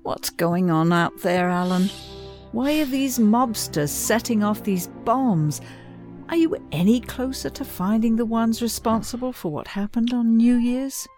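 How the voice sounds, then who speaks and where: A woman playing the concerned, gentle wife of a senior agent in a science fiction audio drama, speaking in a domestic, questioning way. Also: fairly high pitch, a little slow, soft background music, lightly acted.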